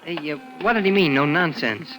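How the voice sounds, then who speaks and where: A man's voice: a little high, expressive, fairly quick, bright, forceful tone, soft background music, low-quality recording. An actor playing a nervous, evasive teenage boy, stammering and eager to please, in 1940s radio drama style. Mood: cornered. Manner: stammering, hesitant, gee-whiz boyish.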